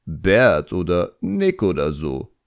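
A man's voice: deep voice, wildly swooping pitch, slow, full character voice. A man voicing a cocky ancient djinn in an audiobook, sly and sarcastic, with a smug drawl. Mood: mocking.

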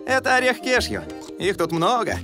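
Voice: mid-range voice, wildly swooping pitch, normal speed. A performer playing a kind, friendly young male jungle animal in a children's cartoon. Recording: music behind the voice.

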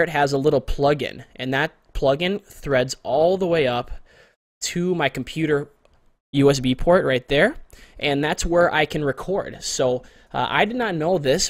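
A young man in a small bedroom giving a casual, rambling walkthrough of his recording gear, close to a condenser mic, in a masculine but not very deep voice. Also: no echo. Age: young adult. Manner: relaxed, rambling, repeats words for emphasis. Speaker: gear reviewer. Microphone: studio condenser mic with pop filter, close.

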